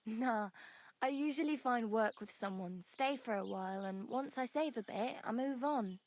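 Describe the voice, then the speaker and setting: High-voiced plucky young wanderer, acted by a woman. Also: normal speed, lightly acted. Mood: confiding. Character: friendly, plucky young wandering beggar girl in medieval Bohemia. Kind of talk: video game dialogue acting.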